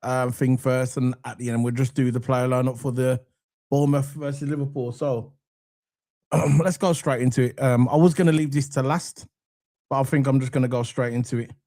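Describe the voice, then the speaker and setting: A man hosting a casual football live stream, croaky from a cold, loose and chatty with lots of ums as he talks to the chat. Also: medium pitch, steady tone, moderate pace. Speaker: football channel host. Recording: faint background music under voice. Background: soft background music.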